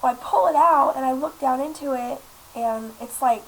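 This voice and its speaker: A woman's voice: high voice, normal speed. A woman in her twenties, sick and congested, chatting casually to her laptop webcam in a small apartment, rambling with lots of fillers. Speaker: vlogger. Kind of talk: casual chat to camera. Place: small apartment room indoors. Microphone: laptop webcam mic. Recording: lo-fi webcam audio with faint hiss.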